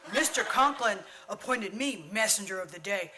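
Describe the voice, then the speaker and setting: A woman playing a dim, eager, polite teenage boy athlete with slow-witted, ungrammatical speech in a radio comedy. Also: medium pitch, lively intonation, bright, forceful tone. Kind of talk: old-time radio comedy acting.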